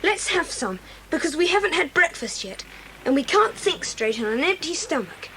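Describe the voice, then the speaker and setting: A reassuring child who takes charge of the others. The voice is extremely high and swings in pitch, over faint noise, in audio-play style. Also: female voice, sing-song, moderate pace, sharp tone, exaggerated voice, low-quality recording. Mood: steady, thoughtful. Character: sensible, reassuring child taking charge of friends. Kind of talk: children's audio drama acting.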